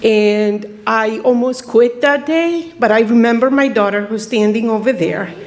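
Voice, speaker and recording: A woman's voice: fairly high pitch, a little slow. A woman in her fifties from Guatemala giving an emotional, informal personal speech as a student at a ceremony. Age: 50s. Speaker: graduate student honoree. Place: ceremony room before an audience. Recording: noisy hall with crowd murmur.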